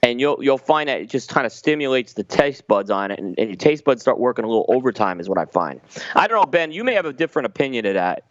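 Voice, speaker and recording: A man's voice: medium pitch, big pitch swings, fast talker, dry recording. Man, podcast co-host, explanatory tone, dry close sound, slightly muffled. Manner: detailed, explanatory tasting talk. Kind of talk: casual panel chat. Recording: slightly dull lo-fi audio.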